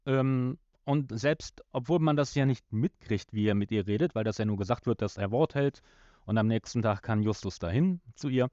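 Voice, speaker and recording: A man's voice: mid-range voice, moderate pace, dark tone, no echo, lo-fi sound. A man co-hosting a podcast, casually talking through the details of an audio drama. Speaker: podcast co-host.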